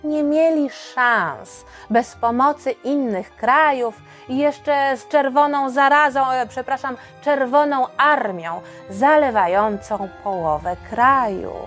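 A woman host narrating a history story for children in a colloquial, expressive way. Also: high-pitched, slow pace. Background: background music.

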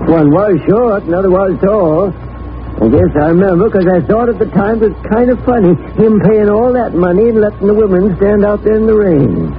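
An actor playing a folksy old stable keeper and deputy with plain-spoken country talk in a 1940s radio drama. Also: male voice, high voice, fairly quick, slight background noise, lightly acted. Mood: reminiscing. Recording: tinny old radio recording with organ music.

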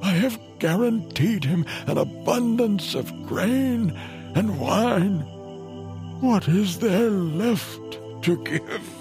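An actor playing a frail, aged biblical father, solemn and halting, with a creaky voice, in an audio drama. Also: high-pitched, lively intonation, music in the background, heavily acted. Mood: anguished, grave. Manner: slow, halting, solemn delivery. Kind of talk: dramatized audio Bible acting.

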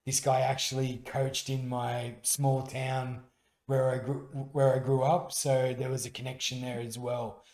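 A man's voice: medium pitch, little pitch movement, measured pace, darkish tone, echoey room. An Australian man, a musician guest on a radio show, telling earnest stories with frequent restarts. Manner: earnest storytelling with many restarts. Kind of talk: casual radio interview.

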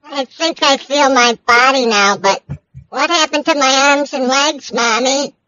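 A fragile, childlike creature whimpers in a thin, bright, piping voice, as a prank caller puts it on. It drawls every word slowly, as if drifting away. The sound is muffled, like a phone line. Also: sits high. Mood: dreamy. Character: dying small creature called Houdini, childlike and dreamy.

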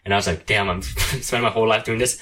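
A man's voice: fairly low pitch, fairly even intonation, fast, over a noisy crowd. A young man chatting casually with friends, rambling with lots of fillers and frequent laughs. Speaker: friend in a group chat. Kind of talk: casual chat. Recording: noisy recording.

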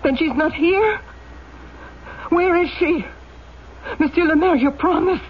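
A woman's voice: very high voice, slow. An actress playing a frail, anguished dying mother in an old-time radio drama, pleading desperately and tremulously. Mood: anxious, pleading. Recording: muffled, noisy old radio, off-mic.

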